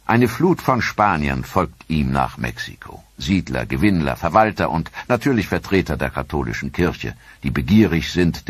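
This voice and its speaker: A man narrating a history documentary in a grave, measured voice-over. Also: very deep voice, slightly rough, no room sound.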